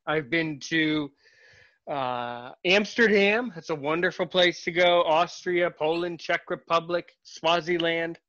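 A man hosting a podcast interview in casual chat, halting and repeating his words, with a sarcastic streak. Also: on the high side, fairly bright tone, highs cut off. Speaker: podcast host.

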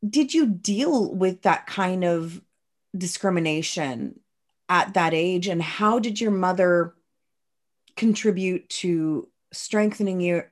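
A woman hosting a podcast, interviewing her guest carefully and gently, and often stopping to clarify points. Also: fairly low pitch, slow pace. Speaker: podcast host.

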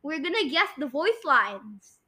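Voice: high-pitched, big pitch swings, measured pace, pushed and bright. A young-sounding female podcast host chatting casually and running a guessing game.

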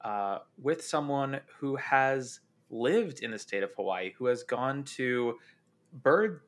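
A man's voice: medium pitch, lively intonation, takes it slowly. A man hosting a casual interview podcast, asking friendly, curious questions with lots of "uh"s. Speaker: podcast host.

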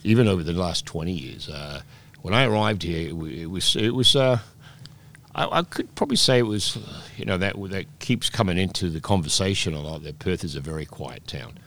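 Deep-voiced chatty man in his sixties. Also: fairly quick, a bit bright. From Scotland, raised in Peru. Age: 60s. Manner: rambling storyteller with many restarts. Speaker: guest, oyster bar operator. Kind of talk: relaxed interview chat.

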